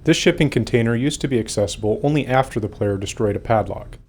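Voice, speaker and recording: A man's voice: medium pitch, quick pace, darkish tone. A man giving prepared commentary as a game developer.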